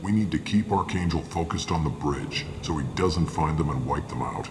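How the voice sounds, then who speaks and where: An actor playing a gruff, weary, matter-of-fact male mercenary briefing hired guns in a video game. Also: very deep voice, normal speed. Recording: clearly noisy game ambience behind.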